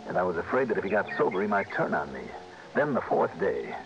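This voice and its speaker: A wary, hard-boiled investigator in a vintage radio drama. His low voice is terse and commanding. The old broadcast sounds muffled, with music underneath. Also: very animated, fast. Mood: tense narration. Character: tough, terse, wary male private insurance investigator. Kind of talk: 1960s radio drama acting and first-person narration.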